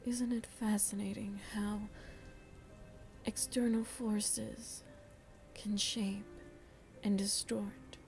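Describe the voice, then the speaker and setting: A slow, softly breathy vampire seductress played by a woman murmurs to the listener with worldly, teasing tenderness. Her mellow voice keeps an even, narrow pitch and often sinks into a whisper, as in an intimate ASMR roleplay. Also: soft background music. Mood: musing, intimate. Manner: slow, deliberate, musing, addresses listener tenderly. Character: seductive motherly female vampire, worldly, philosophical, gently teasing.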